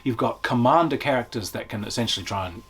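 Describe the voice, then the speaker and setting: A man reviewing a tabletop game in a casual, unscripted way, animated and chatty as he explains. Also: medium pitch, fast, a little hiss or hum.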